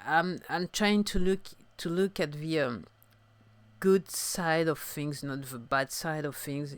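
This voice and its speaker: A woman in her fifties from France, with a French accent and French as her first language, talking reflectively on her own podcast. She talks haltingly, searching for words as she goes. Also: sits low, smooth, dry recording. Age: 50s. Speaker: singer-songwriter podcaster. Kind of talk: reflective solo podcast talk.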